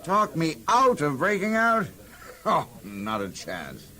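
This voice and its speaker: Cocky, animated tough convict. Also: male voice, fairly high pitch, slow, full character voice. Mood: defiant. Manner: flippant, slangy, tough. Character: cocky, defiant male convict plotting escape. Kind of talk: children's audio drama acting.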